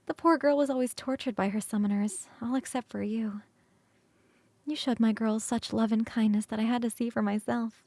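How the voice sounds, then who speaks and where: A woman voicing an ancient succubus queen for ASMR roleplay, tender and teasing, speaking slowly and intimately, often near a whisper. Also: a little high, fairly even intonation, mellow, dry recording, natural voice.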